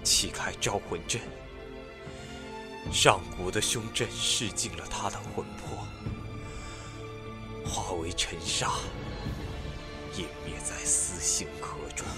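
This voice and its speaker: A man plays a sorrowful figure in an ancient fantasy audio drama. The voice is low and grave, measured yet expressively varied, with music clearly behind it. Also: huge pitch swings, full character voice. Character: solemn, sorrowful man bearing tragic news.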